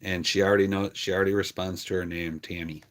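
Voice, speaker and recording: A man's voice: sits low, flat delivery, fast talker. A man chatting casually and affectionately as he hosts a pet livestream. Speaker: pet channel streamer. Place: on a farm.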